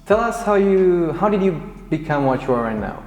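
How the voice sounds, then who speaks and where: A man's voice: sits high, very animated, fairly quick, a little mellow. A man hosting an interview, asking short friendly questions. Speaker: interview host. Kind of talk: hosted interview. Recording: echoey hall with faint music.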